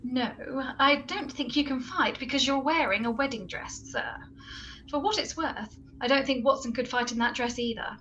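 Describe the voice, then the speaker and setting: A woman playing an earnest, bossy gadget-expert sidekick in a comedic radio play, brisk and deadpan. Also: sits high, highs cut off, a little hiss or hum. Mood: earnest, firm.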